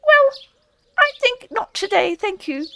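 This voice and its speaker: A tiny storybook field mouse, pitched very high and swooping. It is fussy and busy, stiffly polite and always a little flustered, over some background noise. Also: female voice, sing-song, vocal fry, heavily acted. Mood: hurried. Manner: hurried, stiff, shamefaced. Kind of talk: audiobook character voice.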